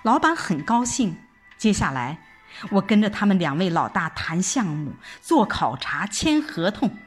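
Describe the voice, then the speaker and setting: A woman reading a scripted first-person audio drama narration in an expressive, reflective storytelling manner. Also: mid-range voice, measured pace, breathy edge. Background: background music.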